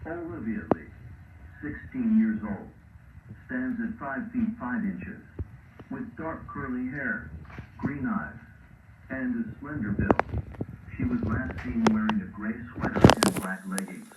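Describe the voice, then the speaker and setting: A man voicing a formal, impersonal emergency broadcast announcer, reading an official alert in a measured, stern way. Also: medium pitch, animated. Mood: grave, official. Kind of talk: formal scripted public alert. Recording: roomy, distant, faintly noisy broadcast playback.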